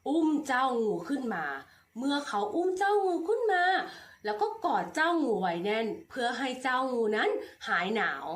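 A young woman teacher reads a fable aloud to small children. She talks in a high voice and uses a sing-song, exaggerated storybook delivery. Her pitch jumps up and down all the time. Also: fairly bright tone. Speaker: kindergarten teacher.

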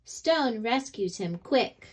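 Very slow, lively woman storyteller. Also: fairly high pitch. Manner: deliberate, dramatic pauses between phrases. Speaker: read-aloud storyteller. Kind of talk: expressive children's picture book read-aloud.

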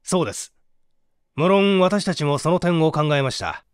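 Man reading as a methodical, animated police detective. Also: a little high, normal speed, clean tone, no echo, studio quality, lightly acted. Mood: earnest, emphatic. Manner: formal, orderly, explanatory. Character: methodical male police detective explaining his deductions formally. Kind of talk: dramatic audiobook reading.

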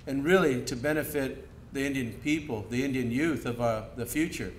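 A man's voice: mid-range voice, moderate pace, a little hiss or hum. Earnest middle-aged man at an outdoor podium. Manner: earnest, loosely improvised with fillers. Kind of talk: ceremony remarks. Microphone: podium mic. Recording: echoey outdoor PA with crowd.

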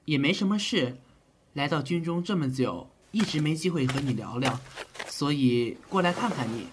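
A man's voice: fairly high pitch, lively intonation, normal speed, background noise, lightly acted. A man playing an earnest, polite army physician in an ancient Chinese audio drama, with a hesitant, courteous way of talking.

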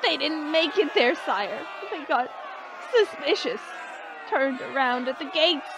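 A squealing, terrified film servant. The voice is extremely high and halting, nearly buried in crowd noise. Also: male voice. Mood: panicked. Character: panicky, squealing male servant bringing bad news. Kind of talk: dubbed film comedy acting.

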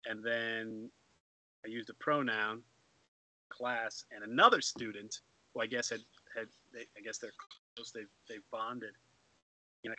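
Brief: middle-aged male host, very bright and sharp tone, dry close sound, halting, full of restarts. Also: mid-range voice, no echo, poor audio. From Florida. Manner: rambling, many restarts and fillers. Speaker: radio show host. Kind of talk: casual video-call discussion. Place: home room. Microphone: headset on video call.

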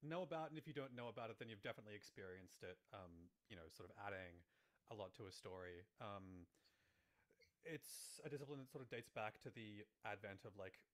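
A man's voice: on the low side, a little fast, a bit bright. A man in his thirties explaining things casually and loosely as a guest, with lots of "like" and "sort of," on a studio microphone. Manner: loose, filler-heavy, frequent "like" and "sort of". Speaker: Foley artist, guest. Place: small room indoors, video call. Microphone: studio microphone on boom arm, headphones.

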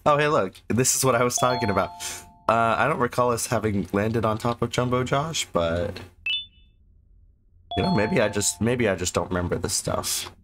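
A sick, hoarse man casually commenting on a game he plays, rambling and sarcastic as he reads the game text aloud. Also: medium pitch, lively intonation, moderate pace, background noise. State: sick, losing his voice. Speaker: gameplay commentator. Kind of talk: casual let's-play commentary.

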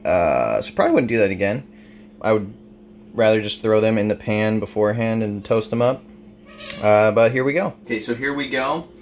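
A man's voice: lowish voice, moderate pace. A young man in his twenties, chatting casually with lots of fillers as he cooks and tastes food in a home kitchen. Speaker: home cooking vlogger. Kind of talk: casual cooking vlog. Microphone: camera on the counter, across the kitchen. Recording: roomy, distant camera audio with noise.

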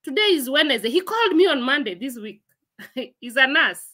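Bright high-voiced woman hosting a livestream. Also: lively intonation, a little fast. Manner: chatty, laughs often, reads comments aloud and answers them. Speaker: livestream host. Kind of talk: casual livestream chat answering viewer comments. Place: room at home, indoors.

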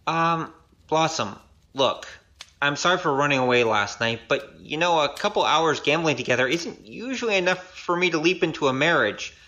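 A woman plays a young male rogue in an audio drama. The voice is bright and very animated, a glib gambler talking his way out with wry, hesitant patter. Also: deep voice, moderate pace, dull audio. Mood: awkward, apologetic.

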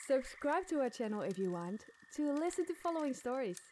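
A woman's voice: high-pitched, very animated, brisk, dark tone. A woman podcast host delivering dramatic scripted storytelling. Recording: clear background night ambience noise.

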